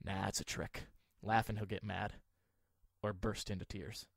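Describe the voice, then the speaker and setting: An actor playing an earnest, easily flustered young defense lawyer with a wry streak in an anime-style audio drama. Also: male voice, fairly low pitch, little pitch movement, measured pace, creaky, no echo, studio quality.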